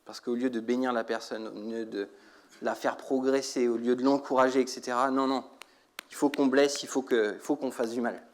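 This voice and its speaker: A man's voice: mid-range voice, steady tone, normal speed, a little mellow. A man preaching a sermon, exhorting his listeners with rhetorical questions and repeated phrases for emphasis. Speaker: church preacher.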